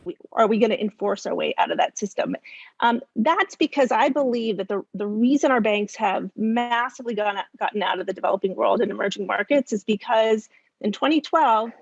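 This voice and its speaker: A woman speaking off the cuff as a policy expert, halting and emphatic with repeated words. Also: fairly high pitch, creaky, breathy edge, no echo, muffled recording. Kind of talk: spontaneous panel commentary.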